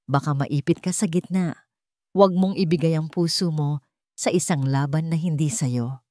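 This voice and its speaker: A woman's voice: low voice, normal speed, warm tone, no echo, clean studio sound, natural voice. A woman with a Filipino accent voicing a worried, practical mother in a dramatic audio story. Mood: worried warning.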